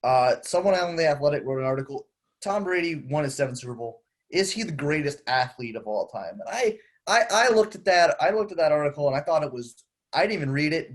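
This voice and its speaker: A young man co-hosting a casual sports podcast, animated as he explains his points at length. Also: a little high. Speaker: podcast co-host.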